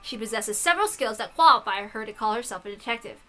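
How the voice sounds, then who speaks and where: A woman's voice: a little high, lively intonation, fast, a bit bright, faint music behind. A woman hosting a game playthrough, reading text aloud and adding playful, casual asides. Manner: playful, giggly, swearing asides.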